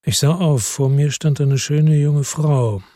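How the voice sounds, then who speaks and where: A man reading first-person literary narration in a measured, brooding, melancholic manner. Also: on the high side, mellow, no room sound, clean studio sound. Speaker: audiobook narrator.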